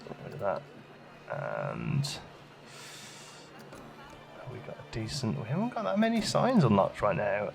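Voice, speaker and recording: A man's voice: lowish voice, wildly swooping pitch, unhurried, vocal fry, long pauses. A man giving casual gameplay commentary, chatting and musing aloud as he questions his own choices, as a let's play host.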